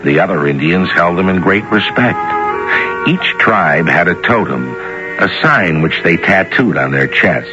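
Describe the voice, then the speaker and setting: A man's voice: deep voice, animated, measured pace, over music. A man hosting and narrating a radio adventure with dramatic scripted delivery. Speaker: narrator and host. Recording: muffled vintage radio recording.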